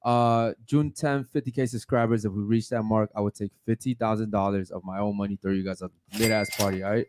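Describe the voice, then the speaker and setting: A young man hosting a live podcast, loose and hyped, giving shout-outs with fillers and casual swearing. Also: lowish voice, steady tone, fairly quick, hardly any pauses, background noise. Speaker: podcast host. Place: podcast studio with a panel of guests.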